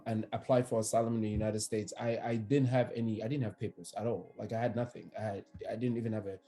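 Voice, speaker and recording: A man from Cameroon in his thirties, an immigration attorney speaking as a podcast guest, relaxed and hesitant with many fillers and restarts. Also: on the low side. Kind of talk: conversational podcast interview.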